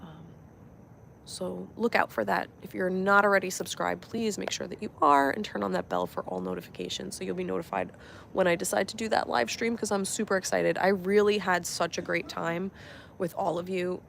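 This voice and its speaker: Young woman, nasal with a cold, low gravelly voice, relaxed motivational chat while walking outdoors on a phone. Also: a little fast, a little hiss or hum. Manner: relaxed reflective chat with fillers. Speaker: vlogger and life coach. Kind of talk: casual motivational live stream. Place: outdoors on a walk.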